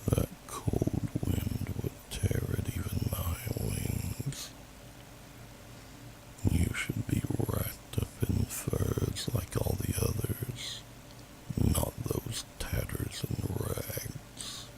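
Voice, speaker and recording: A man voicing a huge, gentle older male dragon in a deep, gravelly half-whisper, with slow, courtly, old-fashioned speech in an ASMR roleplay. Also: big pitch swings, exaggerated voice. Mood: gentle, musing. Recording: noisy amateur home microphone.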